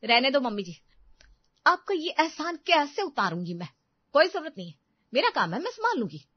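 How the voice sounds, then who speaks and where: A woman's voice: lowish voice, expressive, normal speed, no room sound, lo-fi sound, natural voice. A woman voicing a young daughter-in-law, martyred and self-important, grumbling with sarcasm in a family story. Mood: sarcastic anger. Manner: sarcastic, put-upon grumbling. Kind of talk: Hindi family story acting.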